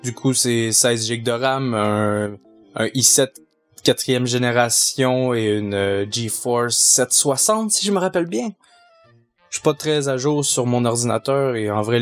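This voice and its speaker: A man who handles audio for a fan dub, giving a casual, hesitant answer full of pauses. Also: medium pitch. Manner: rambling, hesitant, technical. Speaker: fandub audio engineer.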